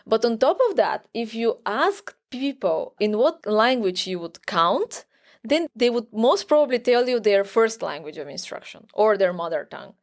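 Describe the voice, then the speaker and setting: A woman's voice: on the high side, expressive, moderate pace. Woman explaining research. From Bulgaria. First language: Bulgarian. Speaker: academic researcher, podcast guest. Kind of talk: conversational expert explanation.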